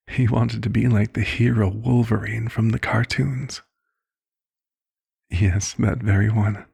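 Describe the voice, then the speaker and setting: Vampire physician, played by a man, male, ASMR roleplay: low, very husky, gentle, reflective and unhurried in manner. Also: no echo, clean studio sound, exaggerated voice. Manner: measured, hushed, reflective storytelling. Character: calm, caring male vampire doctor recounting a past case.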